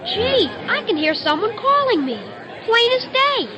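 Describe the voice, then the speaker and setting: A very high, swooping voice for a naive young innocent lost on Earth. The delivery is earnest and exclamatory, in old radio comedy style, with a crowd behind. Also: big pitch swings, unhurried, music in the background, exaggerated voice. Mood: eager, puzzled. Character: naive, confused young amnesiac sent from heaven, wide-eyed and earnest.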